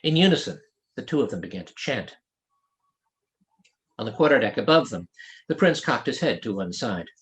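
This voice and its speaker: A man reading his own fantasy novel aloud as an author, in expressive scripted narration. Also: mid-range voice, normal speed, pauses often.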